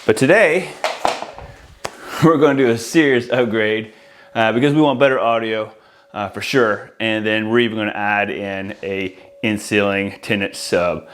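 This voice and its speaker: A man's voice: lowish voice, measured pace, a bit bright, a little hiss or hum. A man in his forties giving an informal, explanatory product walkthrough as an installer, casually addressing viewers. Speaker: audio installer presenting a product. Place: small room indoors, home office. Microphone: lavalier clipped on shirt.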